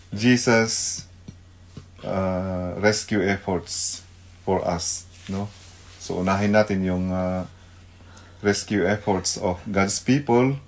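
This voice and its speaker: A middle-aged man with a Filipino accent giving an unscripted devotional radio talk, pausing to search for words and mixing two languages. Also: on the low side. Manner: halting, searching for words, mixes Tagalog and English. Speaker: radio devotional host, pastor.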